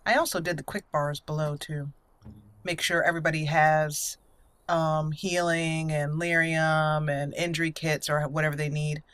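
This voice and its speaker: A woman with a slightly low voice hosts a gameplay video. She comments casually and tells the story of her roleplay as she goes. She thinks aloud, hesitating between phrases. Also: little pitch movement, normal speed, a bit bright, no room sound. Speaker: gameplay host.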